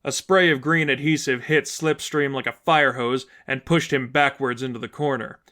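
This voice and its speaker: A man's voice: mid-range voice, steady tone, moderate pace, sharp tone. A man reading as narrator, delivering scripted fiction in a storytelling style.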